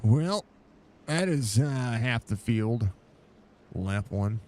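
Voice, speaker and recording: A man with a stuffy, congested voice calling a live sim race, excited and rambling with lots of fillers. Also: medium pitch, extremely slow, a little hiss or hum. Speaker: sim racing broadcaster.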